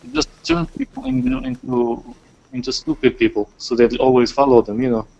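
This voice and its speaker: A man's voice: mid-range voice, huge pitch swings, brisk, creaky, darkish tone, dull audio. A man from Morocco with a Moroccan accent, a native Arabic speaker talking English in a casual chat, hesitant, with frequent restarts.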